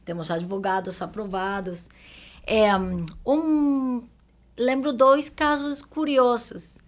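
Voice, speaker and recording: A woman guest with a fairly high voice speaks in a podcast conversation. She is a non-native speaker with a foreign accent, and she often pauses to search for words. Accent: foreign accent in Portuguese. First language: non-native Portuguese speaker. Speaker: guest immigration professional.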